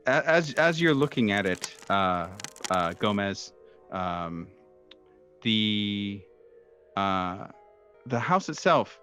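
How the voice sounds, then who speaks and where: A very low-voiced man runs a horror tabletop game as game master. He describes scenes in a halting, searching way, feeling his way through each phrase. Faint music plays behind him. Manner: halting, hesitant descriptive delivery. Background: soft background music.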